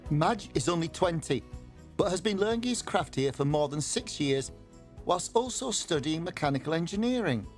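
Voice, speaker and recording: A man's voice: fairly high pitch, lively intonation, normal speed, faint music behind. A man presenting a TV food show, narrating and chatting with bakers. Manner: warm, chatty, asking questions.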